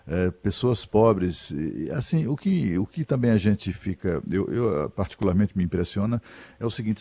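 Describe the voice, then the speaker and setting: Middle-aged male radio host with a dark voice. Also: low-pitched, breathy edge, no room sound. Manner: hesitant, many fillers and restarts, animated when retelling. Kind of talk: radio interview questioning.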